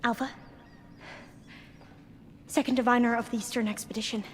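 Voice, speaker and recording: An actress playing a formal, wary female diviner of a proud tribe in a video game, solemn and hesitant, with archaic phrasing and a fairly high voice. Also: fairly even intonation, normal speed, a little hiss or hum, lightly acted. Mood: reverent, hesitant. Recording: echoing hall, faint background ambience.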